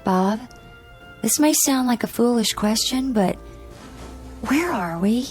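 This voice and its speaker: A woman's voice: on the high side, animated, slow, darkish tone, faint music behind. An American woman playing a wry, teasing young wife in a radio drama, chatty and needling. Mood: dismayed.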